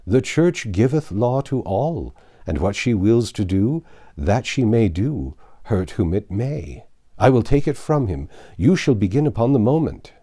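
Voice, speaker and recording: Storybook abbot, elderly, anxious and insistent, archaic delivery, mellow tone, played by a male reader. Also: fairly low pitch, very animated, unhurried. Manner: pleading, solemn archaic speech.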